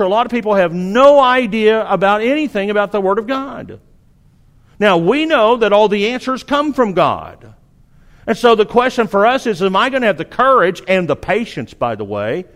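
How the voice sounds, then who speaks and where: A man's voice: sits high, a bit bright. A middle-aged man with a Southern accent from Arkansas, preaching a sermon in an earnest, exhorting way, often restarting his sentences.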